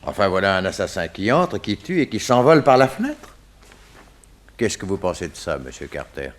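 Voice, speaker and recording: An actor playing a nervous, officious male coroner in a radio serial, hesitant and excitable in his speech. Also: low-pitched, normal speed. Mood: puzzled, theorizing. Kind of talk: French detective radio serial acting.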